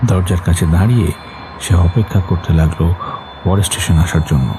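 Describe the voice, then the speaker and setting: A man's voice: low-pitched, moderate pace, background noise. A man playing a menacing, taunting stranger in an audio horror story, speaking in an ominous manner. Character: menacing male stranger telling a grim tale on a train. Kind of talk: dramatic horror story narration.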